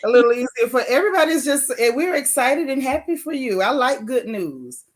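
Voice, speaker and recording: A woman's voice: sits high, very animated. Woman host, chatty and motherly, leans on words to make her point. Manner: motherly, giving advice with slow emphasis. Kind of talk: casual live-stream chat.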